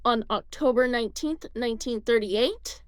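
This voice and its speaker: A woman's voice: a little high, normal speed, no room sound. A woman reading scripted documentary narration as the narrator.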